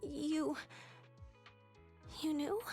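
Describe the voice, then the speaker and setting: A woman voicing a sickly, resigned, selfless young girl in a video game, speaking gravely and haltingly. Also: sits high, mellow, soft background music, exaggerated voice.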